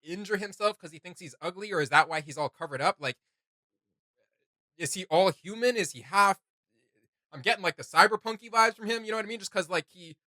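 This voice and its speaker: Male reaction host, slightly high and very bright voice, enthusiastic, rambling casual delivery. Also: a little fast, dry recording. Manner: enthusiastic, rambling, thinking aloud.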